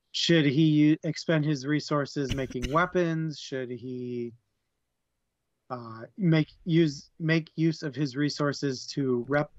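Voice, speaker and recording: A man co-hosting a book club podcast, giving his views in a thoughtful, halting way and repeating words as he searches. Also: on the high side, dry recording. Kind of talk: casual book discussion. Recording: dull, lo-fi dry mic.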